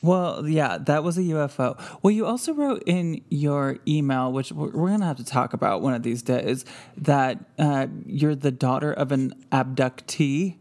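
Relaxed man hosting a call-in chat. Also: on the high side, moderate pace. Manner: relaxed, conversational, follow-up questions. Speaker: podcast host. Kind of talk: casual interview chat.

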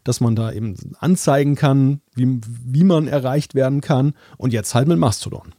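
Man, mellow and husky, relaxed podcast co-host, dry close mic. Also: mid-range voice, moderate pace, dry recording. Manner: relaxed, explains at length. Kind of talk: casual chat.

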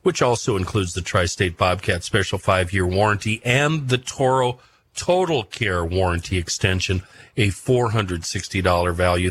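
A man's voice: lowish voice, a bit bright, slight background noise. A man hosting a radio show, delivering a folksy, rambling live sponsor read off the cuff.

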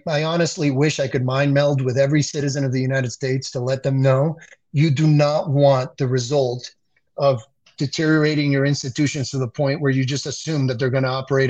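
A man's voice: mid-range voice, darkish tone. A man from the Dominican Republic, a communications expert speaking earnestly as a guest in a political interview, often restarting and repeating words.

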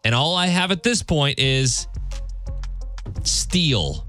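A man's voice: fairly high pitch, very animated, slow pace, sharp tone, soft background music. A middle-aged man co-hosting a morning radio show with casual, wry banter, speaking close to a studio microphone.

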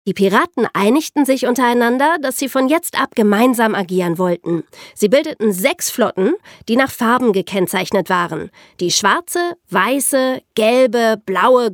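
A woman's voice: fairly high pitch, no echo, clean studio sound. A woman co-hosting a podcast, telling history in an explanatory way for young listeners. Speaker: podcast co-host and narrator.

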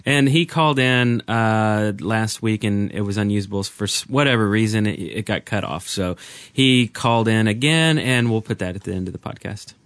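A man's voice: on the low side, moderate pace, a bit bright, dry recording. A man co-hosting a podcast in casual, conversational chat. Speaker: podcast co-host.

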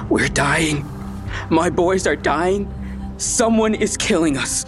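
A man's voice: on the high side, slow. Scared young Californian man, music underneath. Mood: scared, urgent. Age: 20s. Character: scared, menacing slight young man with a knife. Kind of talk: audio drama acting.

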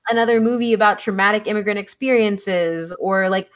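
A woman's voice: medium pitch, fairly quick, clean tone. Earnest woman from Texas chatting. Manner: earnest, frequent "like" fillers and restarts. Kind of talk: casual conversational chat.

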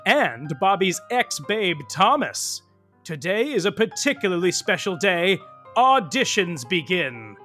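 A man's voice: high voice, very animated, measured pace, bright, forceful tone, faint music behind, heavily acted. A man playing a chatty, theatrical radio drama host who narrates with playful, wry asides. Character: chatty theatrical male show host, wryly gushing. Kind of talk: scripted comic radio narration.

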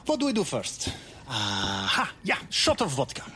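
Nervy, swooping forger muttering to himself. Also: male voice, medium pitch, wildly swooping pitch, normal speed, sharp tone, slight background noise. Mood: anxious, self-encouraging. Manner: muttering self-pep-talk, exclaiming. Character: nervy Russian male forger talking himself through a job. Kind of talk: audio drama acting.

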